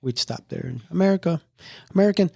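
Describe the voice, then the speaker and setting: A man's voice: fairly high pitch, expressive, fast, vocal fry, mellow, slightly rough, no echo. A middle-aged man hosting a family podcast, chatting casually and rambling with many restarts. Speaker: podcast host.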